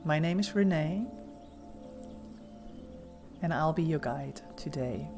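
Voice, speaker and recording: A woman leading a guided meditation as an instructor, giving gentle, soothing, softly spoken instructions with pauses. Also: sits low, animated, over music.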